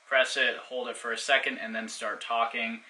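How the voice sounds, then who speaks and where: A man's voice: mid-range voice, level tone, normal speed, pushed and bright. A man giving a casual hands-on product demonstration in an informal, explanatory way. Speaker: product reviewer.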